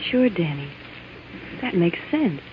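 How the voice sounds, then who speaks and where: An actress playing a loyal, anxious young fiancée, speaking earnestly and urgently in a 1950s radio drama. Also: female voice, lowish voice, sing-song, takes it slowly. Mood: surprised, concerned. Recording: tinny, hissy old radio recording.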